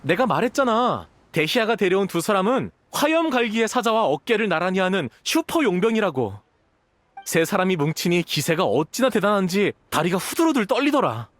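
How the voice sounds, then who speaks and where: A man playing an eager, easily flustered young mercenary scout in a video game, speaking in an earnest, excitable manner. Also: high voice, a little fast.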